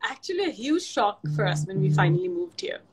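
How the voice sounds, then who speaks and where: A woman's voice: medium pitch, big pitch swings, fairly quick, over music. A woman, chatting as an interview guest, laughing often and restarting her sentences. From Pakistan. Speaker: interview guest, fashion designer. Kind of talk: casual video call interview.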